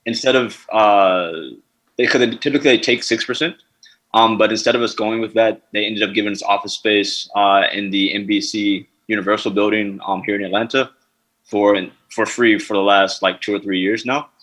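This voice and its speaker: Male founder, lowish voice, halting Q&A answers full of fillers. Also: steady tone, a bit bright, rough recording. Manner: halting, many fillers and restarts. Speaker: startup founder pitching. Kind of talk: answering investor questions.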